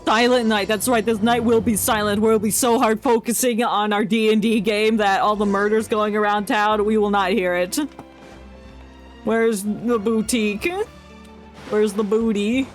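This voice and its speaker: A woman livestreaming a game with casual, playful, excitable commentary and joking asides. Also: medium pitch, measured pace, soft background music.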